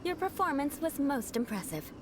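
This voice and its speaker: A woman's voice: sits high, sing-song, normal speed, background noise. A haughty young noblewoman knight. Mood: stern, poised. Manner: formal, poised, theatrical. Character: haughty young noblewoman knight, vengeful but secretly kind. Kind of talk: video game character acting.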